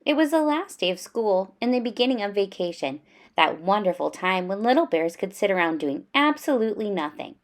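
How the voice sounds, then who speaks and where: A woman reading a children's story aloud in an expressive, animated storytelling style with clear emphasis. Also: medium pitch, fairly quick.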